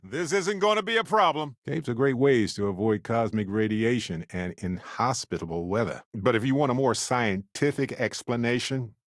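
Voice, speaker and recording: An actor playing an affable, wisecracking space explorer and scientist in a video game, speaking in a playful, wry, conversational way. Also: male voice, mid-range voice, normal speed, few pauses, no room sound, studio quality, natural voice. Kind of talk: video game companion dialogue.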